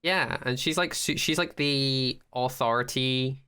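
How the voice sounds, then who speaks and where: A man with a bright, clean voice chats casually as a podcast co-host. He is opinionated and animated, swearing freely as he makes his points. His tone is clear and forward. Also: medium pitch, measured pace. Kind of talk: casual pop-culture discussion.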